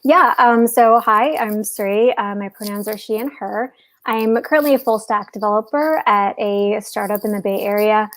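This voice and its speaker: A woman's voice: medium pitch, moderate pace, dry recording. A young woman from Chicago with a Chicago accent, chatting casually as a guest on a livestream video call, giggly and full of "um" and "like". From Chicago, USA. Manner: chatty and giggly, many fillers like "um" and "like". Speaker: guest speaker, software developer.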